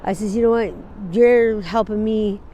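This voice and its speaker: A woman in her forties from Wyoming, telling her story in a halting, rambling way during an outdoor street interview. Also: medium pitch, fairly even intonation, warm tone, dull audio. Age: 40s. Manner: rambling, halting, laughs easily.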